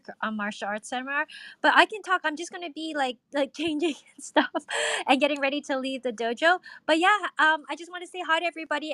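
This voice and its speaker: A woman joins a casual audio chat, talking in quick bursts. Her voice is high and bright. The sound is dry. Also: no room sound. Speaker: room participant.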